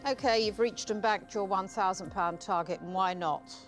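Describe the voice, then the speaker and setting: A woman's voice: mid-range voice, moderate pace, music in the background. A woman hosting a television quiz in a studio, reading questions and rules in a curt, mocking way. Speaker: quiz show host.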